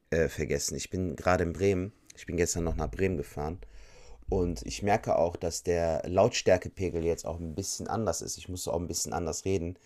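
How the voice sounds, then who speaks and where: A man's voice: sits low, darkish tone. A man co-hosting a podcast on a borrowed mic, tired after a busy week, chatting casually in slang. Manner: casual and slangy, says "Alter" a lot. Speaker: podcast co-host. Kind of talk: casual chat. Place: at his in-laws' home.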